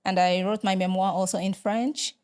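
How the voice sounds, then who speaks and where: A middle-aged Nigerian woman, Igbo her first language, a religious sister and lecturer answering interview questions in a measured, hesitant way, with many fillers and restarts. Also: mid-range voice, steady tone, a little mellow.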